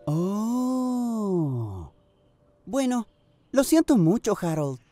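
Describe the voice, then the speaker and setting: A woman voicing a cheerful, eager young boy train engine in a children's cartoon dub, with a Latin American accent and clear, expressive delivery. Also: medium pitch, very slow, faint music behind. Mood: thoughtful, sympathetic.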